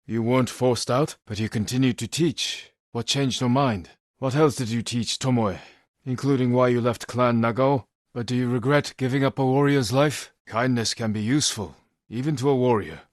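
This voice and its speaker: An actor playing a grave, resolute samurai warrior in a video game, speaking in measured, solemn, terse lines with a husky voice. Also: male voice, mid-range voice, no room sound, clean studio sound, close to an everyday voice. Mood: urgent, stern. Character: stoic, honour-bound samurai warrior, adult man, grave and resolute. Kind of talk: dramatic scripted game dialogue.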